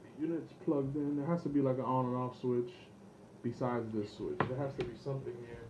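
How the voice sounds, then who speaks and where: A man's voice: mid-range voice, steady tone, a little fast. A man thinking aloud through an unscripted product demo, hesitant, rambling and unsure of himself. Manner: hesitant, rambling, self-doubting. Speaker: online seller demonstrating vintage electronics. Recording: dull lo-fi camera audio with background noise.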